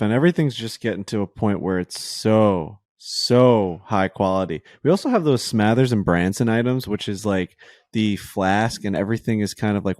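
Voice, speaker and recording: Low voiced dude co-hosting, laid back, lots of um and really, dry mic. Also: male voice, darkish tone, no echo. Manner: easygoing, leans on "really" and filler words. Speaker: podcast co-host. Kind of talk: casual ad read.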